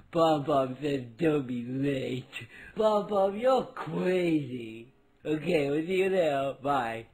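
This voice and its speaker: Goofy, dim male cartoon friend: slightly high voice, slow, meandering, good-natured. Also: expressive, darkish tone, breathy edge, exaggerated voice. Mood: cheerful, goofy. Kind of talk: cartoon phone-message acting.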